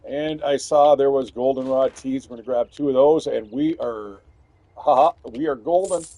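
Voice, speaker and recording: A man giving loud, rambling, profanity-filled commentary while playing a video game. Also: medium pitch, normal speed, no room sound. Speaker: gaming streamer. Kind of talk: casual gameplay commentary.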